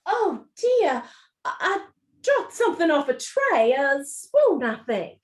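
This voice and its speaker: Roomy sound, genteel mother,, very lively high voice, effusive, slightly flustered, radio play. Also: slow pace, full character voice.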